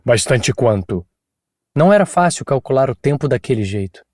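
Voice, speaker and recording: A man narrating an audiobook in an even, measured storytelling manner from a studio booth on a close mic. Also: medium pitch, no room sound. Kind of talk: scripted narration.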